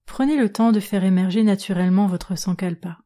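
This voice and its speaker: A woman yoga nidra instructor guiding a relaxation meditation in a calm, measured manner with long pauses. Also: medium pitch. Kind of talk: guided relaxation meditation narration.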